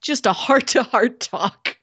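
A woman's voice: on the high side, slow pace, a bit bright, husky, no echo. A woman co-hosting a casual film discussion, acting out imagined lines of dialogue as she explains. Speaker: podcast co-host discussing films.

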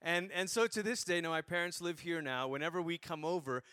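A man in his forties from Malaysia, preaching a sermon through animated, humorous stories. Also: on the high side, a little fast, clean tone, no room sound, clean studio sound.

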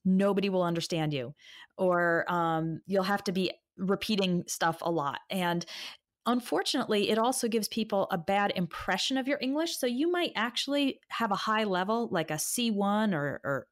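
A woman's voice: mid-range voice, normal speed. Woman chatting in an interview. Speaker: online English teacher. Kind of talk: conversational interview.

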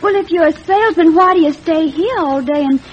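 A guileless young sweetheart in a vintage radio play, her voice high and girlish. She sounds naive and smitten, on a dull old recording with some noise. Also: a little fast. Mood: smitten, curious. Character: naive, smitten twenty-one-year-old landlady's daughter. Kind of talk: old-time radio drama acting.

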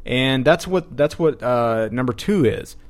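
A man's voice: mid-range voice, expressive, measured pace. A man hosting a podcast, recounting an event in casual chat with a crude, mocking manner. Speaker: podcast host.

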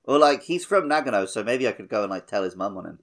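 A British man co-hosting a gaming podcast, chatting casually and riffing on jokes in an animated way. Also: lowish voice, fast talker. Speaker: podcast co-host.

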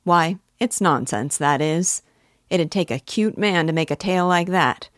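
A woman's voice: on the low side, unhurried, no echo, clean studio sound, lightly acted. Woman voicing a rambling old rustic parish clerk. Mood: garrulous, opinionated. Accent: rural English dialect. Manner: rambling, opinionated, advice-giving. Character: old male parish clerk, rustic, self-important, neighborly busybody.

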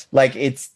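A man with a deep voice co-hosting a podcast in easy conversation. He talks haltingly, with many ums and restarts, and often laughs at himself. His pitch sits in the middle range for a man. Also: vocal fry, slightly rough. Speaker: podcast co-host. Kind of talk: casual chat.

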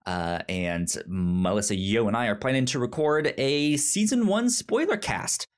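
Lively, stammering male podcast host. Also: medium pitch. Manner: halting, stammering with repeated words. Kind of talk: casual film review chat.

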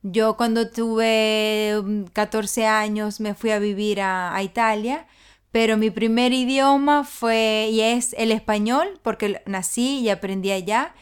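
Venezuelan woman chatting in an interview, a little high, smooth clear voice, lots of restarts. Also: steady tone, unhurried. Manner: chatty, with frequent restarts and repeated words. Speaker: guest. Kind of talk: casual interview chat. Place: London.

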